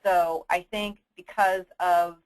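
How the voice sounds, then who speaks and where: A woman hosting a conference call briefing, answering submitted questions in an explanatory, businesslike and somewhat halting manner. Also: on the low side, steady tone, rough recording. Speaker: host of an insurance agents' conference call. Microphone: telephone conference line.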